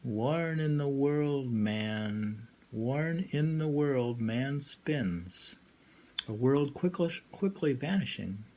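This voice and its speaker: A man reading poetry aloud with casual commentary, halting and often repeating words and phrases. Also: medium pitch, animated, warm tone. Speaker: reader and host. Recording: noisy homemade recording.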